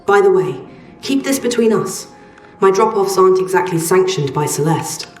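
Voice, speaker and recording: Roomy, low-voiced, guarded woman trader, played by an actress. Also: animated, normal speed, darkish tone, close to an everyday voice. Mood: guarded, stern. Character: guarded, exacting woman trader in post-apocalyptic game. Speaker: quest-giving trader. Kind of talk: video game voice acting. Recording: roomy, slightly distant, music behind.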